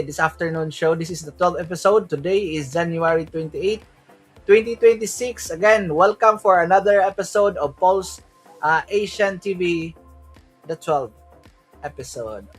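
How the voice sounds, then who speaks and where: A man's voice: high-pitched, moderate pace, breathy edge, faint music behind. A man from the Philippines with a Filipino accent, hosting a solo crypto livestream in a loose way, switching into Tagalog now and then and asking "right?" after many of his points. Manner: loose, repeats "right?" often, mixes in Tagalog.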